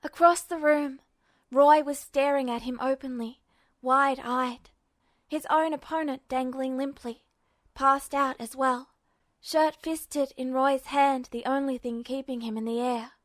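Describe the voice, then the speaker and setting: A woman's voice: sits high, steady tone, a little slow, no room sound. A woman reading scripted fiction as an audiobook-style narrator. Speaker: podfic narrator.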